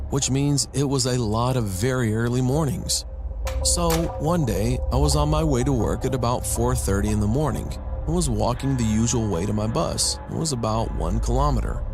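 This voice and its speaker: A man of average pitch reads a scripted scary story as its first-person narrator. Music is clearly heard behind his voice. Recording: loud background music.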